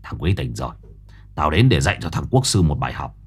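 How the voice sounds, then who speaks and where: A man doing a gruff, cocky ghost brawler. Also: extremely low pitch, sing-song, fairly quick, voice pushed down, full character voice. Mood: gruff resolve. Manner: gruff, brusque, clipped. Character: gruff tough middle-aged man, cocky ghost fighter.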